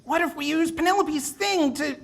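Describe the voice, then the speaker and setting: An excitable little boy space scout, voiced by a woman, very high with a scratchy creak. He is naive and gee-whiz, straight out of a retro radio serial. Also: normal speed. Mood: eager. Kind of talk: comic retro radio drama acting.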